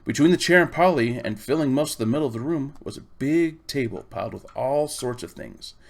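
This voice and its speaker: A man reading a children's storybook aloud in expressive, measured phrases. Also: medium pitch, creaky. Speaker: audiobook reader. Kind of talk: storybook narration.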